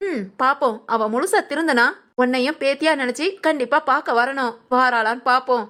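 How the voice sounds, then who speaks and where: Woman playing a high-voiced, brisk grandmother. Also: a bit bright. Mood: skeptical, homely. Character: caring, slightly skeptical grandmother and family elder aunt. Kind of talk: family audio drama acting.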